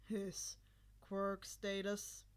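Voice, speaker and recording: A woman voicing a nonchalant, reserved husband in a story, with a deadpan, low-key delivery. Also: very slow, clear, resonant tone. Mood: curious, dry.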